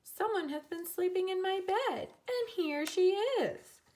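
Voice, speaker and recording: A woman's voice: extremely high pitch, animated, measured pace, a little mellow, pitched above the performer's own voice. A woman voicing a small, plaintive baby girl octopus from a storybook in a whiny, dramatic way. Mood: indignant.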